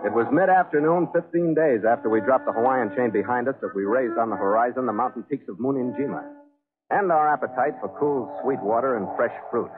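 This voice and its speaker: A seasoned sea captain, acted by a man, tells his tale in the terse manner of 1940s radio adventure. The recording is tinny and narrow, with music clearly behind his medium-pitched voice. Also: fairly quick, close to an everyday voice. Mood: grave, suspenseful. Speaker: lead actor and narrator.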